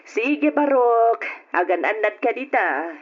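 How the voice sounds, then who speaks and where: A woman's voice: fairly high pitch, animated, takes it slowly. A woman playing a kindly aunt in a radio drama, encouraging and reassuring. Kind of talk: Ilocano radio drama acting. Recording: dull lo-fi old radio recording.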